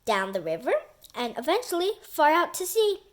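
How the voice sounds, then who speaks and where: A woman with a high voice narrates a children's story. Her reading is expressive and deliberate, with dramatic emphasis on key words. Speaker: storybook reader.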